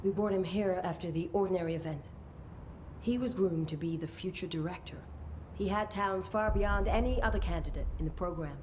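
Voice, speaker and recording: An actress playing a stern, secretive woman official who speaks in a measured, formal, commanding way in a game cutscene. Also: on the low side, a little mellow, slight background noise, lightly acted. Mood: grave. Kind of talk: video game cutscene acting.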